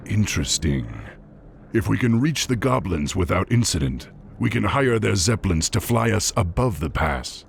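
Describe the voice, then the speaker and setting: An actor playing a stern, burdened young orc war chief in a video game, speaking with gravelly authority. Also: male voice, on the low side, animated, background noise. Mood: firm command. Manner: authoritative, deliberate. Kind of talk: video game cinematic acting. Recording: game music behind the voice.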